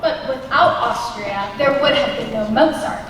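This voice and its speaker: A young woman acting an earnest, sentimental patriot in a high school stage play. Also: normal speed, a bit bright, lightly acted. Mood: challenging. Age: young adult. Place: large school auditorium stage. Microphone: far camera. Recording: distant, noisy, echoey auditorium.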